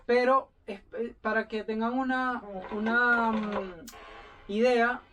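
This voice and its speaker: A talkative man chatting through a relaxed interview, rambling from point to point and plugging his own work. He sits a little back from the microphone, so his voice sounds slightly distant. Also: very high-pitched, slow, noisy background. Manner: chatty, rambling, self-promoting. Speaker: sailing YouTuber guest. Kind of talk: casual interview chat.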